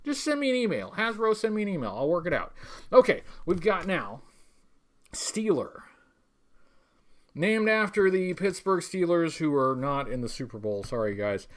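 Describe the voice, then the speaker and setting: Male livestream host, slightly high-pitched, rambling, stop-start, wry, tongue-in-cheek, casual chat. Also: very animated, normal speed. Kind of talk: casual livestream chat reading viewer messages.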